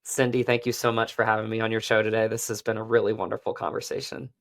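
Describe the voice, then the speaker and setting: A man's voice: fairly low pitch, level tone, fast talker, gravelly, fairly bright tone. A man talking earnestly and effusively in a conversational interview, as an actor who is the guest.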